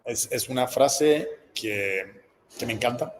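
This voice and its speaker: A man's voice: medium pitch, slow pace, a little hiss or hum. A man from Calabria with an Italian accent, a guest in casual interview chat, feeling for words in his second language. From Calabria, southern Italy. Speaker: guest author and speaker.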